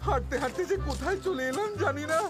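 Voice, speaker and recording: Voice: high-pitched, quick pace, close to an everyday voice. An actor voicing a nervous, chatty cartoon sidekick in an exaggerated, exclaiming manner. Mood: weary musing. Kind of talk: cartoon dub acting. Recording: music under the voice.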